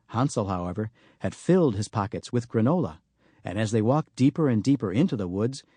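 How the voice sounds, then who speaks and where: A man's voice: mid-range voice, a little mellow, breathy edge, no room sound. A man reading a satirical storybook as scripted audiobook narration. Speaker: author reading own audiobook.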